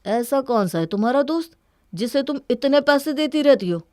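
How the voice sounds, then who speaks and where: A woman's voice: high-pitched, lively intonation, moderate pace, dark tone, dry recording, pitched above the performer's own voice. Woman voicing a wounded, earnest young husband, dry sound. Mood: angry, hurt.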